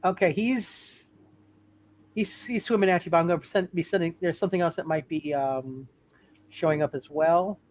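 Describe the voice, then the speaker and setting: A woman running an improvised tabletop roleplay as game master, halting and often repeating phrases as she narrates. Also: lowish voice, darkish tone, a little hiss or hum.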